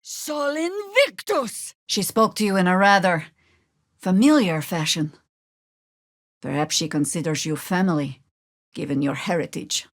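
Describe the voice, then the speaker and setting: An older female druid warrior from a fantasy video game, world-weary and wry. Her delivery is measured and grave yet commanding, with wide swings in pitch. The sound is close and dry, with no room on it. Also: expressive, no room sound. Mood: fierce battle cries, then grave, thoughtful.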